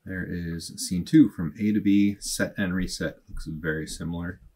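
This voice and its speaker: A man in his thirties at his desk, walking through a software tutorial and thinking aloud in a plain, unhurried way. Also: sits low, steady tone, slightly rough. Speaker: automation tutorial host. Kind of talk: casual screen-recorded tutorial. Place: small indoor room at a desk.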